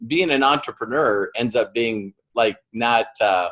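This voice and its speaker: A middle-aged male host talks excitedly and rambles, restarting his sentences and using many fillers. His voice has an ordinary pitch for a man. He speaks in a loose, conversational style. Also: measured pace, rough recording. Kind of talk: interview.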